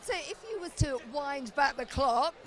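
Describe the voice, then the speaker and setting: A middle-aged British woman hosting a panel, asking questions in a relaxed, conversational way. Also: sits high, moderate pace. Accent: British English. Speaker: panel host, interviewer. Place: outdoor festival stage with crowd. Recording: live event, crowd noise behind.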